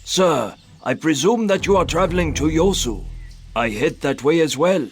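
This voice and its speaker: An actor playing a courteous traveling monk in a video game, formal and respectful. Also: male voice, on the high side, animated, moderate pace, faint music behind. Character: traveling monk, courteous man offering his skills. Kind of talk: scripted video game voice acting.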